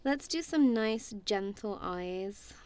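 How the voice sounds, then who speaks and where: A woman's voice: mid-range voice, expressive, slow, a little mellow, clean tone. A woman doing a friendly, goofy ASMR roleplay as casual one-sided chat, playful and giggly, with a self-deprecating, apologetic manner.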